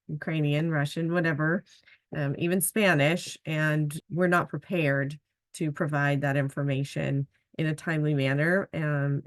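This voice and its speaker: A woman moderates an online panel in a relaxed, conversational way, often pausing on "um." Her voice is very low for a woman. The sound is close and dry. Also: no echo. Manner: conversational, fills pauses with "um". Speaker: webinar moderator.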